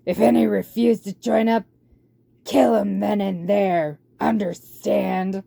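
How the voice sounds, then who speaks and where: A woman's voice: mid-range voice, steady tone, takes it slowly, gravelly, warm tone, no echo, full character voice. A woman voicing a villainous rat warlord in a storybook, curt, commanding and menacing as he orders his horde about. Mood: harsh, commanding.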